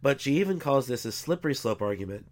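A man hosting a podcast, congested from a cold, explaining arguments in a measured way with frequent fillers. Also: mid-range voice, expressive. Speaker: podcast host. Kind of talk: scripted-sounding podcast commentary.

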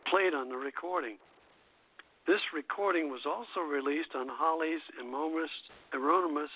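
A man hosting a radio show, reading prepared history notes aloud in a halting way and stumbling over words. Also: on the high side. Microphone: phone call-in line. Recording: tinny phone line.

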